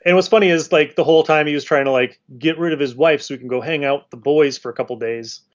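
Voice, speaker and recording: A man's voice: a little high, steady tone, quick pace, lo-fi sound. An American man hosting a podcast in casual, rambling conversation. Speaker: podcast host. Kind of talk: casual chat.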